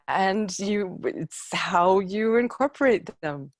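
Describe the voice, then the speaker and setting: A woman leading an online improv workshop for writers, encouraging and unhurried, often trailing off mid-thought. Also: mid-range voice, expressive, no echo, clean studio sound. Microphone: video call.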